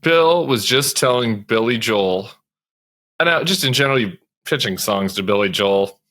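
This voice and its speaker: A man's voice: mid-range voice, lively intonation, moderate pace, a bit bright. An American man giving casual political commentary in a wry, rambling way.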